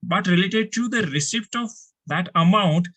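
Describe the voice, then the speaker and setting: A man's voice: high voice, normal speed, pushed and bright. Indian-accented man teaching step by step. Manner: didactic, poses questions then answers them, repetitive. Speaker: accounting instructor. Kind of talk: step-by-step tutorial explanation.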